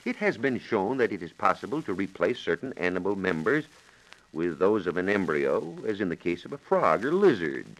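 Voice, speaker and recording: A male science reporter speaks on a vintage 1940s radio recording. His low voice moves through a wide, animated range of pitch. He explains things methodically, in careful and formal phrasing. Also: normal speed, low-quality recording.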